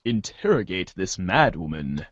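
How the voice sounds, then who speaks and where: A man's voice: low-pitched, sing-song, a little slow, dry recording, dull audio, pitched below the performer's own voice, exaggerated voice. A man voicing a bossy, theatrical, alarmed drifter giving orders in a fan theater piece. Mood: commanding, alarmed.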